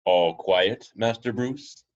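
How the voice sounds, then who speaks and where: A man playing a loyal, courteous butler with a polite, wry, measured manner in a superhero script read. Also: on the low side. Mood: gently wry. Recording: dull lo-fi home recording.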